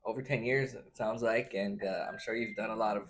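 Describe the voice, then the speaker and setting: A man's voice: fairly low pitch, fairly even intonation, a little fast, slight background noise. A man hosting a casual interview, asking his questions in an informal, loosely worded way. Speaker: interviewer, host. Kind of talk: casual food-tasting interview.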